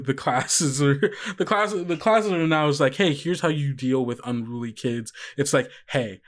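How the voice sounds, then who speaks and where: Male game master, conversational and unscripted. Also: medium pitch. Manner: conversational, often posing questions to the player. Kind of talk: improvised narration of a tabletop horror game.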